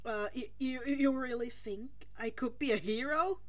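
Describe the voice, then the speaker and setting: A woman voicing a guarded, sullen, self-doubting teenage boy in an audiobook story, blunt and halting. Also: a little high, fairly bright tone. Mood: doubtful. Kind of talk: expressive audiobook character reading.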